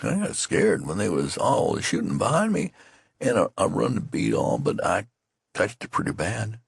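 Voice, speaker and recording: A man's voice: lowish voice, very animated, moderate pace, creaky, husky, no echo, clean studio sound, voice pushed up, heavily acted. A man voicing a wounded, dazed soldier who rambles in folksy rustic dialect, his words slurred and pleading. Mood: shaken.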